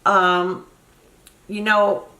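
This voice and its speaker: A woman's voice: mid-range voice, flat delivery, extremely slow, pushed and bright. A Cuban-born woman in her sixties, chatting candidly to camera as a beauty vlogger, rambling with many fillers. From Cuba. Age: 60s. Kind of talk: casual chat to camera. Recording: echoey room.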